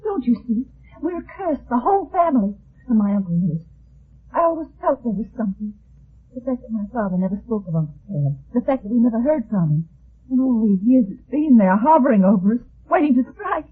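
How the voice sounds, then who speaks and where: Scared haunted young heiress, shaky breathy husky voice, old radio mystery, muffled sound. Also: female voice, a little high, expressive, brisk. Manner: tremulous, hesitant, fervent. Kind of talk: vintage radio melodrama acting.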